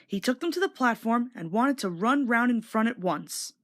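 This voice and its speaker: A woman narrating a children's storybook aloud in an expressive, scripted read-along style. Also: on the high side, moderate pace.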